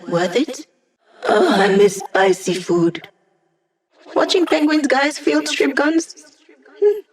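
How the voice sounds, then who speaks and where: A woman's voice: medium pitch, close to an everyday voice, rough recording. A young woman voicing a wry, quippy digital hacker who gives mission support in a video game, in a conversational way.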